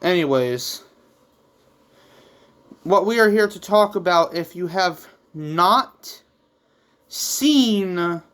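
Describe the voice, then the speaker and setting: Casual vlog host, medium pitch, slow pace, rambling and a little theatrical, addressing viewers directly. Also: fairly bright tone, clear, resonant tone. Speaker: YouTube host and manga creator.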